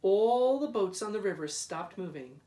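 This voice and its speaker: A man reading a children's storybook aloud in a deliberate, dramatic way, drawing out repeated words. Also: sits high, lively intonation, warm tone, a little hiss or hum. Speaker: school principal reading aloud. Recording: roomy echo, distant microphone.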